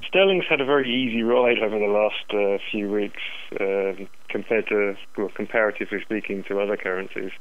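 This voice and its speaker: A man giving market commentary as an analyst in a phone interview, halting and repeating words, over a dull telephone line. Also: lowish voice, no room sound. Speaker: currency analyst, interview guest.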